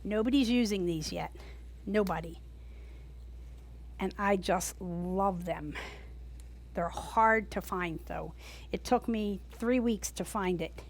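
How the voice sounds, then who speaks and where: A woman with a US East Coast accent teaching a gourd craft class live to a small group, talking loudly and chattily. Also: medium pitch, takes it slowly, long pauses. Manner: loud, chatty, animated. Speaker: gourd art instructor. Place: workshop room with a small audience.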